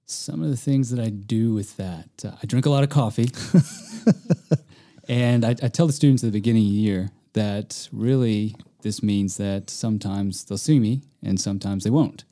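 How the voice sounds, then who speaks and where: Friendly male guest, low voice, very dark mellow tone. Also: normal speed. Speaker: field rep and teacher. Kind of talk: casual interview answers. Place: living room of a log cabin.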